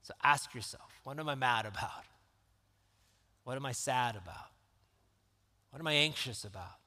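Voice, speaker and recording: A man preaching a sermon in a calm, conversational way that rises into emphatic questions. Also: mid-range voice, moderate pace. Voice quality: calm, soothing voice. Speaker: pastor. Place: church sanctuary. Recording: long reverb of a church hall.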